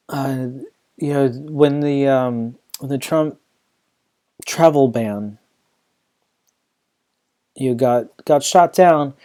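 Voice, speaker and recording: A man's voice: medium pitch, warm tone. A man hosting a podcast, talking through his views in a loose, rambling way with frequent restarts and fillers. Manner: rambling, many restarts and "you know" fillers. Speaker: podcast host. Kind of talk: casual solo podcast commentary.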